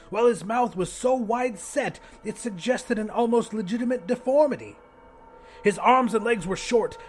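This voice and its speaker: A high-voiced man reads a first-person story with drama and expression. His pitch swings widely from phrase to phrase, and music plays softly beneath the narration. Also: breathy edge. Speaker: audiobook narrator.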